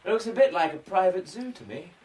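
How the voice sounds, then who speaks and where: A polite, naive young junior agent in a radio play. He chatters quickly and eagerly in a high, very lively voice. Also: low-quality recording. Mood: eager, nervous. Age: young adult. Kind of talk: radio drama acting. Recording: broadcast radio drama with faint noise.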